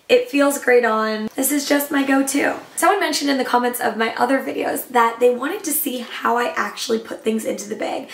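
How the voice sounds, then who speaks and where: Young woman travel vlogger, slightly hoarse, enthusiastic and chatty, echoey room. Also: on the high side. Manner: enthusiastic, chatty, showing items. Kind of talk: casual upbeat vlog talk to camera.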